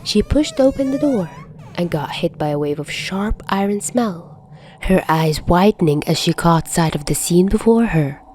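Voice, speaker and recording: A woman reading fan fiction aloud as narrator, in an expressive audiobook style. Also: fairly low pitch, normal speed.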